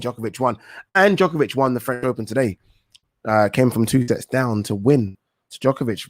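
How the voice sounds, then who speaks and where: A man's voice: mid-range voice, no room sound, clean studio sound. Casual man hosting a boxing stream. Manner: rambling, swears casually, thinks aloud and corrects himself. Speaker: boxing talk show host. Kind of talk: casual live-stream chat reading viewer questions.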